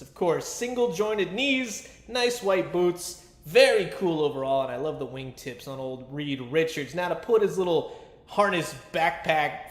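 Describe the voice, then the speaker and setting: Man, higher-pitched voice, enthusiastic collector, casual review commentary, colloquial, noticeable room echo. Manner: chatty, enthusiastic fan talk with colloquial asides.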